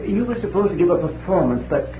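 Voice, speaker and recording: Echoey, deferential palace secretary. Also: male voice, high voice, big pitch swings, quick pace. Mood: deferential, anxious. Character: polite, deferential male secretary to a maharaja. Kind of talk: old-time radio drama acting. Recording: echoey, noisy, muffled old radio recording.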